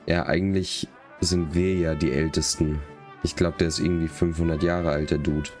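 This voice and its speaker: Very deep-voiced male game streamer. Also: level tone, moderate pace, a little mellow, soft background music. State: slightly sick. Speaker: live streamer. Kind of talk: casual game stream commentary.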